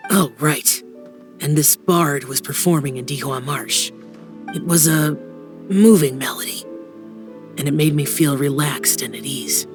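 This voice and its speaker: A man's voice: on the high side, measured pace, over music, natural voice. Man voicing reserved, hesitant young demon slayer. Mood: awkward. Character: Xiao, reserved youthful demon-slaying warrior, socially awkward. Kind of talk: anime game dub acting.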